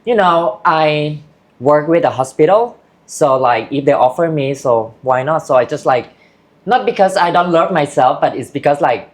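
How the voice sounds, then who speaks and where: A woman's voice: sits low, animated, normal speed. Candid Thai-accented woman guest. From Thailand. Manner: open, candid talk in loose, broken English. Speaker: interview guest. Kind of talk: casual interview chat.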